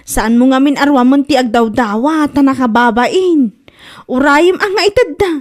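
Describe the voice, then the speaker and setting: A woman's voice: high voice, normal speed, close to an everyday voice. An Ilocano-accented woman playing a worried, caring older sister in a comedy drama. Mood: pleading.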